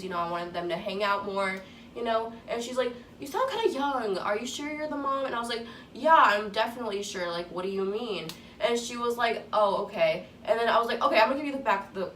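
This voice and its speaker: A woman's voice: mid-range voice, a bit bright. A teenage girl with a babyish voice telling a story in a rambling, casual way, with lots of swearing. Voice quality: youthful, babyish voice. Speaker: vlogger. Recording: echoey room, distant mic, faint noise.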